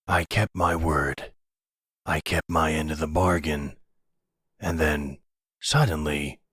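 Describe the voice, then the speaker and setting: A man playing a weary, bitter exiled mafia father on the run in an audio roleplay, slow and brooding with a gravelly, husky voice. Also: a bass voice, fairly bright tone, no echo, clean studio sound, heavily acted. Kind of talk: ASMR audio roleplay drama.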